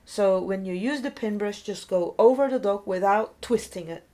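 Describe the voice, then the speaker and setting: A woman's voice: medium pitch, normal speed, mellow, soft background music. A middle-aged woman with a Belgian accent, a non-native English speaker, giving an informal step-by-step grooming tutorial in simple phrases. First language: not English. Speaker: dog groomer presenting a tutorial. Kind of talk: explanatory demonstration narration.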